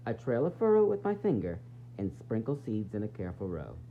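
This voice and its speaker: A man reading a children's picture book aloud in an expressive, dramatic, sing-song way, with varied emphasis. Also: on the low side, fairly quick. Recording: dull lo-fi sound, faint noise and hum.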